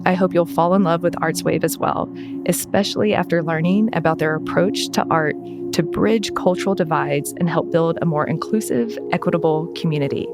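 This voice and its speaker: Woman podcast host, bit low pitched, intro and interview style, music pretty noticeable behind her.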